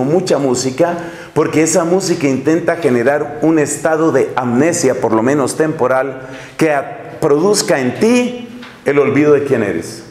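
A middle-aged friar preaches with a fairly high voice, drawing out the phrases he wants to stress. He is heard in a large, reverberant tiled hall, recorded from a camera some distance away. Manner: expressive, gesturing, slows to stress key phrases. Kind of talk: lecture-style sermon. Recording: echoey hall.

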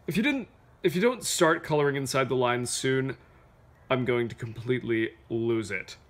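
A man voicing a fussy, exasperated neat-freak purple crayon in a picture book, halting and emphatic. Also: mid-range voice, lively intonation, pitched below the performer's own voice, natural voice.

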